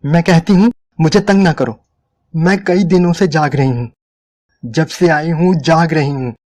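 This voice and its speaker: Guy doing a worn out angry woman, pitched way up, snappy n curt, defiant, story reading. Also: unhurried, lightly acted. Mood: exasperated. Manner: sharp, curt, irritable.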